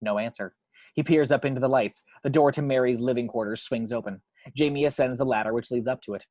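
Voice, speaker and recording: A man narrates the action lines of a screenplay. His voice is medium in pitch and recorded dry, without room sound. He tells it in a measured way that builds suspense. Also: a little mellow, no echo. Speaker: narrator reading action description.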